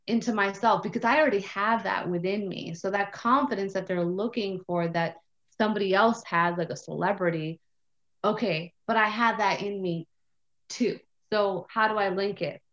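A woman co-hosting a casual podcast, lively and animated, who acts out her thinking with quoted asides and lots of "like" and "okay". Her voice is at a middling pitch, and the recording sounds slightly dull and muffled. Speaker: podcast co-host. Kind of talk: casual conversational podcast chat.

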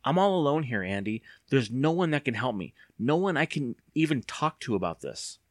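A man's voice: medium pitch, very animated, normal speed, dry recording, studio quality, voice pushed up. A man voicing an anxious, impatient young boy in an audiobook, with a whiny, sarcastic, exclaiming manner. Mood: bitter, frustrated. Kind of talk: audiobook character voice.

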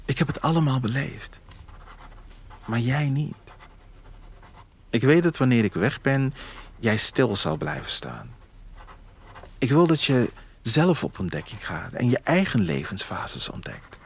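A man voicing a reflective man reading a beloved's farewell letter, tender, slow and hushed, in an audio drama. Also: mid-range voice, very animated, vocal fry, slightly rough, heavily acted. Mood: tender, solemn.

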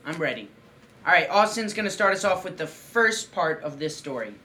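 A woman's voice: on the low side, sing-song, normal speed, pushed and bright, a little hiss or hum. A woman co-hosting a podcast, joking and interjecting in playful improvised group storytelling. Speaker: podcast co-host.

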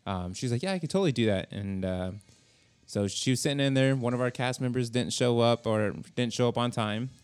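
A man's voice: medium pitch, a little fast, smooth. A man hosting a podcast in casual, informal and enthusiastic chat, swearing freely. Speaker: podcast host and filmmaker.

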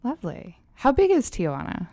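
A woman's voice: on the high side, huge pitch swings, a little fast, dark tone, dry recording, studio quality. A woman hosting a conversational interview, asking informal questions and putting in short interjections. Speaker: host, physician interviewer.

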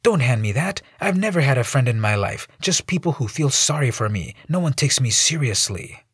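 A man voicing a despairing, lonely man on a ledge who talks in a whiny, pleading voice that climbs high. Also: very animated, normal speed, fairly bright tone, breathy edge, no echo, studio quality, heavily acted.

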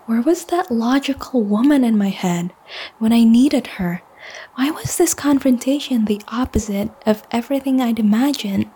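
A woman acting a hurt, bitter young woman in an audio drama, speaking emotionally and often in a breathy hush. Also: a little high, normal speed, lightly acted. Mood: wounded, bitter. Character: hurt, bitter young woman confronting the man she loves. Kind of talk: first-person audio drama narration and dialogue. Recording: noticeable background noise and ambience.

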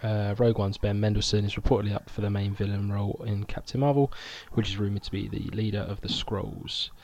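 Gravelly low-voiced man hosting. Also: steady tone, fairly quick, a little mellow. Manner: chatty, reads news items off notes. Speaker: podcast host. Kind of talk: casual podcast chat.

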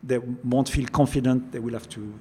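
A man's voice: medium pitch, level tone, normal speed, warm tone, slight background noise. An older man with a French accent, a chef answering interview questions, often repeating words as he searches for phrasing. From France. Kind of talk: interview conversation.

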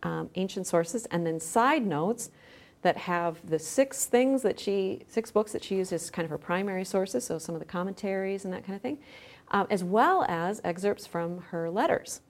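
Woman podcast guest, scholarly, explains stuff conversationally, average pitch. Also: animated, moderate pace. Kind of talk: conversational interview.

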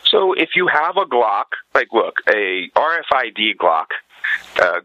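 A man with a bright, tense voice at an average pitch, caught close on slightly lo-fi audio. He explains his opinions conversationally as a guest, pressing each point emphatically and posing questions that he then answers. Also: big pitch swings, measured pace, no room sound. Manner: emphatic, opinionated, argues through rhetorical questions. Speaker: podcast guest.

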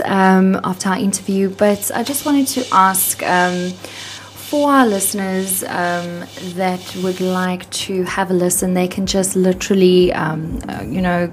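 South African female studio host, upbeat, chatty, lower register. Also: darkish tone, slight background noise. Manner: friendly, upbeat, laughs easily, many ums. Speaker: radio podcast host. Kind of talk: casual interview chat.

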